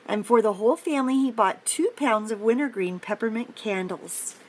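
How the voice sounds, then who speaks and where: An older woman reading a picture book aloud to children, pausing for simple, encouraging chatty asides about the pictures. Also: on the high side, a little hiss or hum, poor audio. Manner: encouraging, explains pictures simply to young listeners.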